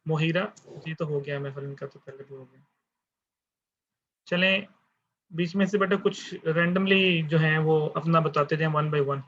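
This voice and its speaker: Man, lecturer, calm fatherly roll call, slightly high voice, dull call audio. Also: a little slow. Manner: calm, fatherly, addresses students as beta. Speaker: university lecturer. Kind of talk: online class roll call. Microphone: online class call.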